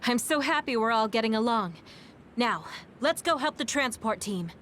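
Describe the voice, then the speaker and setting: A woman playing a composed, caring military squad leader in a video game, gentle but authoritative. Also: a little high, animated, normal speed, slight background noise. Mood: pleased. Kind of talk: dubbed anime-style video game acting.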